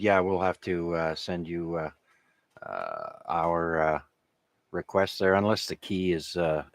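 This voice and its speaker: A low-pitched man, native in French, hosts a casual amateur radio net. He rambles along with hesitations, and his voice sits dry and close with hardly any room sound. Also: fairly bright tone, dry recording.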